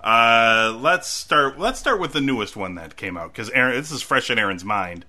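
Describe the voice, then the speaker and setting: Man, very bright voice, casual movie chat, frequent laughs, stammering restarts. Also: mid-range voice, expressive, normal speed.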